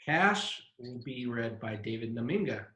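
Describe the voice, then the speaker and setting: Male festival host, bright forceful voice, medium pitch, roomy web-call sound, casual introductions. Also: very animated, measured pace. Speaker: festival director introducing a cast.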